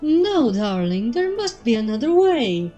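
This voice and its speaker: A woman's voice: a little high, big pitch swings, unhurried, mellow, muffled recording, voice pushed up. A dramatic, refined lady unicorn from a cartoon fan story, put on by a woman. The delivery is prim, theatrical and fretful, with music behind it. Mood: pleading.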